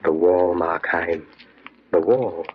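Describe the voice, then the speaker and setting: A man playing a sinister inner voice that tempts and commands with slow, insistent urging, in 1940s radio horror style. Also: low voice, steady tone. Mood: insidious coaxing. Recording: muffled, crackly old radio recording.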